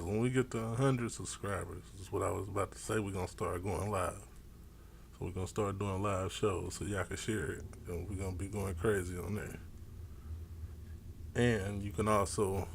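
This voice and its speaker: Brash, swearing sports radio host. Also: male voice, fairly low pitch, vocal fry, slight background noise. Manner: brash, boastful, swears freely. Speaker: sports talk show host. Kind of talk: casual sports talk.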